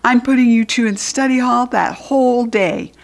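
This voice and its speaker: A woman's voice: fairly high pitch, normal speed, gravelly, voice pushed up. A woman voicing a gloating, angry male school principal in a children's storybook, stern and scolding. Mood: smug, stern.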